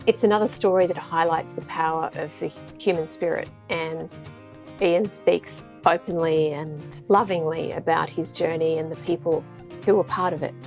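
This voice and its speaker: A woman with a fairly low voice hosts an interview podcast in an earnest, encouraging way. Faint guitar music plays quietly behind her. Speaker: podcast host.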